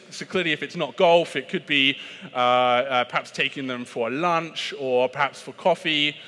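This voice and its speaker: Bright-voiced British man giving keynote. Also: fairly high pitch. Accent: British English. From Britain. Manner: chatty, self-deprecating, frequent "uh" and restarts. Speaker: keynote speaker on sales. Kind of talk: conference keynote presentation. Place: large conference hall. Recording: reverberant conference hall.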